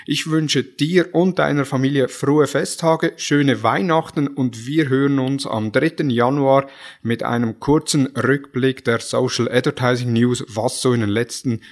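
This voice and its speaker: A man's voice: medium pitch, fairly even intonation, measured pace. A man with a Swiss German accent hosting a podcast interview, friendly and thankful, with some hesitations. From Switzerland. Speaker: podcast host.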